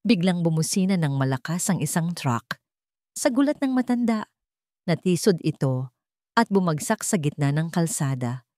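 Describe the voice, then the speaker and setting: A woman narrates a dramatic story in a slightly low voice. Her pitch moves widely and expressively through each sentence. The recording is dry, with hardly any room sound. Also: big pitch swings, moderate pace, darkish tone, no room sound.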